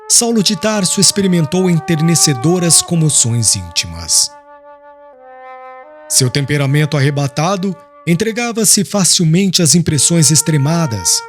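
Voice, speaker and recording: Expressive male narrator over prominent music. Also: fairly high pitch. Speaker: audiobook narrator. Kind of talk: dramatic literary narration. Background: music with woodwind.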